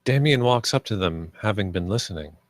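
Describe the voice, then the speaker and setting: A man's voice: on the low side, animated, fairly quick, dry recording, clean studio sound. A man reading stage directions aloud as scripted narration.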